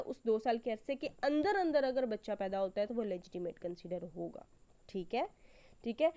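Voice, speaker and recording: A woman's voice: a little high, warm tone. A woman with a Pakistani accent giving an explanatory law lecture in a didactic way, repeating key points and checking in as she goes. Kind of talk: explanatory lecture, Hindi-Urdu mixed with English.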